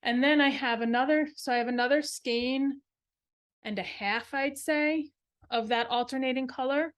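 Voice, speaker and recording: A woman's voice: sits high, normal speed. A woman co-hosting a craft podcast, talking casually in a show-and-tell style and rambling as she thinks aloud. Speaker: knitting podcast co-host.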